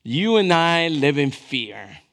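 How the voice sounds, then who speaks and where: A man in his fifties preaching a conversational sermon as a pastor, animated, swinging between hushed asides and raised emphasis and checking in with his listeners. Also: on the high side, slow. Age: 50s. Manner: checks in with listeners through short questions.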